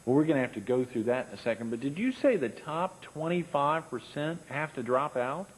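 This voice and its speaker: A man's voice: medium pitch, expressive, fairly quick, breathy edge, poor audio. Curious male interviewer asking questions. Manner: inquisitive, follows up with short reactions.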